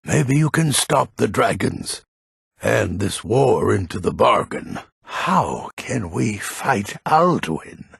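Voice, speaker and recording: A gruff, grumpy old man of authority in a fantasy video game. His voice is extremely hoarse and thick with gravel. He speaks bluntly and weightily, in unhurried phrases with an old-world turn. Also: fairly low pitch, big pitch swings, a bit bright, no room sound, clean studio sound, exaggerated voice. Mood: grave, deliberate. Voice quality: raspy, gravelly.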